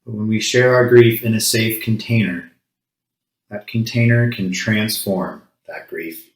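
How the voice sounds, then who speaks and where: A man with a low-pitched voice leads a solemn spoken ritual in a roomy, echoing space. His delivery is very flat and even, set out in short, measured phrases with pauses between them, as a worship leader would speak to a congregation.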